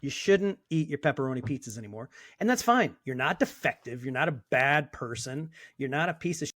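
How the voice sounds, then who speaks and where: A man's voice: mid-range voice, lively intonation, fairly quick, fairly bright tone, no echo, clean studio sound. A man in his fifties, a sober coach speaking as a guest in a casual interview, talking bluntly and emphatically. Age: 50s. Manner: emphatic and blunt, with occasional swearing.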